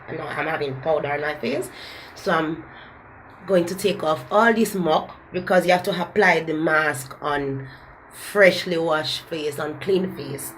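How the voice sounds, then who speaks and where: Brief: young Jamaican woman, lowish voice, candid beauty vlogger, searches for words, kitchen room echo. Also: a bit bright, a little hiss or hum. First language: Jamaican Patois. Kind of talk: casual talk-to-camera beauty vlog. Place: home kitchen, indoors. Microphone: camera close to the face. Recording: echoey kitchen with background music.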